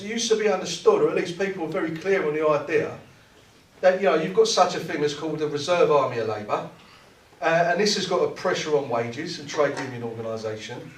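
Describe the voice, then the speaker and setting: Impassioned man speaking in a roomy hall. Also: fairly high pitch. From South East London. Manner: impassioned, restarts and repeats words. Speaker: trade union activist speaker. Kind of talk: political speech at a meeting. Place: meeting room. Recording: roomy hall, mic at a distance.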